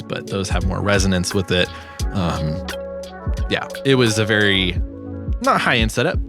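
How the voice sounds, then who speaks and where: Low, creaky-voiced man, casual walkthrough. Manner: self-deprecating, informal, chuckles at own work. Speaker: sound designer presenting own project. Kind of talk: casual screen-share walkthrough tutorial.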